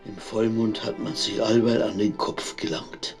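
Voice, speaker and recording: Paranoid rustic rambler, Bavarian dialect, creaky voice, slow muttered delivery, radio play. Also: male voice, fairly low pitch, level tone, over music. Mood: suspicious, agitated.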